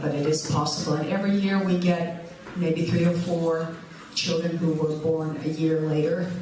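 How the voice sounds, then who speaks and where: A woman representing a school, calmly answering audience questions at an event. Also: low-pitched. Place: event hall. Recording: echoey hall, distant mic, noise.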